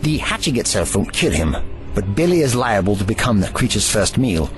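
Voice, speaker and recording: A man's voice: fairly low pitch, very animated, brisk, faint music behind, poor audio. A man playing a grave, erudite ancient time traveller in an audio drama, with formal, slightly pompous phrasing.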